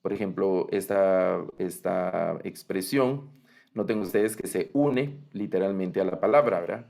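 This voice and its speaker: A man's voice: low-pitched, measured pace, vocal fry, darkish tone. A man with a Latin American Spanish accent teaching a Bible language class online, explaining patiently and often checking in with his students. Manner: patient, explanatory, frequent "¿verdad?" check-ins.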